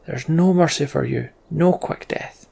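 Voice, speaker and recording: A man voicing an angry, merciless clan leader in a fantasy game, with loud, commanding declarations. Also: a little high, unhurried, creaky, slightly rough, pitched above the performer's own voice, full character voice. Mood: furious.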